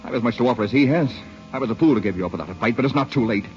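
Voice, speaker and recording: A man's voice: mid-range voice, expressive, very fast, darkish tone, natural voice. A man acting a brooding, jealous rejected suitor in an old-time radio drama, theatrical and intense. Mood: desperate, impassioned. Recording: muffled old radio recording, music behind.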